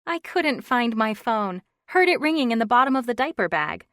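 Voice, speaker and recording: A woman voicing an excitable young woman friend chatting on the phone, gushing and teasing, in a dry, close reading. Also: on the high side, moderate pace, no echo, pitched above the performer's own voice. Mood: excited.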